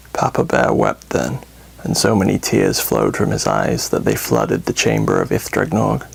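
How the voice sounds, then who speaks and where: A man narrating a scripted horror bedtime story in a grave, dramatic, deliberate way, with music under his voice. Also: fairly low pitch, gravelly, slightly rough, low-quality recording.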